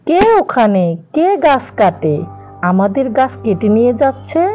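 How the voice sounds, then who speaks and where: A woman voicing a gentle young tree fairy in a cartoon, speaking politely and imploringly. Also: on the high side, animated, normal speed, lo-fi sound, soft background music. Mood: alarmed. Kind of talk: cartoon voice acting.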